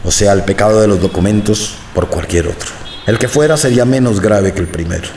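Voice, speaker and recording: A man narrating a radio drama in the first person, calm and reflective. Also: on the low side, a little fast, slight background noise. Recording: roomy, slightly distant mic.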